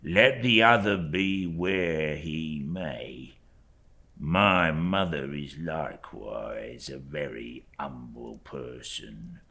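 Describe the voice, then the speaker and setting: An unctuous young clerk from a classic novel. The voice is extremely low and gravelly, with a tense edge. He crawls through each phrase with false humility, letting the endings drop to a hush. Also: exaggerated voice. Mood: falsely humble. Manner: slow, obsequious, drawn-out, hushed phrase endings. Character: oily, falsely humble young male law clerk. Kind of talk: audiobook character acting.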